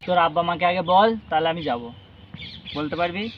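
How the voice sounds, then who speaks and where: A young man talks very fast in a fairly high voice. He sounds teasing and insistent, as in a casual call with a friend. There is some noise behind him, with birds squawking. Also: fairly even intonation, lo-fi sound. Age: young adult. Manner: teasing, coaxing, insistent questioning. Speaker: vlogger making a prank phone call. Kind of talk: casual chat on a phone call. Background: parrot squawks and ambient noise.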